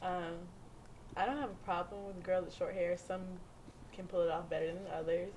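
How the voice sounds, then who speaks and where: A woman's voice: on the low side, steady tone, a little fast, darkish tone, rough recording. A woman about twenty years old sharing opinions as a guest in a casual chat. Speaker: panel guest. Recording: faint background noise, room ambience.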